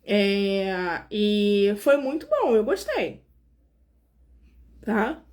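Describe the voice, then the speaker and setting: A woman's voice: mid-range voice, sing-song, drawn-out words. A woman, hosting a casual live stream as a nurse and chatting directly with her viewers, sounding tired. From Brazil. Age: 40s. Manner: chatty, warmly addresses viewers directly, frequent fillers.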